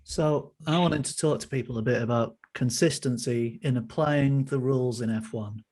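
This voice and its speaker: A man joining a podcast as a fan guest over a video call, setting out his views in a measured, orderly way. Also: mid-range voice, darkish tone. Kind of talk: casual opinion talk on a video call.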